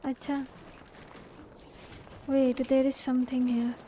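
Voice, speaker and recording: High-voiced Indian woman livestreaming games. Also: little pitch movement, slow pace, background noise. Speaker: game livestreamer. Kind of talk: casual live gameplay chat. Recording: game music clearly behind voice.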